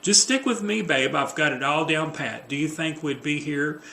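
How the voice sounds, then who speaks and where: A man voicing a bossy, superstitious, excitable wife in a play, fretful, insistent and melodramatic. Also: on the high side, moderate pace, reverberant, voice pushed up. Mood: scolding, alarmed.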